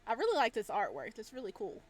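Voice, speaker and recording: A woman hosting a gaming video, giving casual, playful and expressive let's play commentary as she reacts aloud. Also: fairly high pitch, fast.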